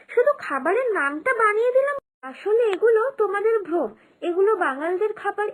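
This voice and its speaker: A woman's voice: very high-pitched, rough recording. A woman voicing a confident, caring young wife and daughter-in-law in a moral-story cartoon, earnest and persuasive. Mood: indignant.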